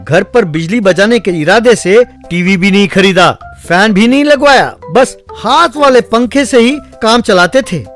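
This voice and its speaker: High-pitched man narrating a story. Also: lively intonation, a little slow, few pauses, a bit bright. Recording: music behind the voice. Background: background music.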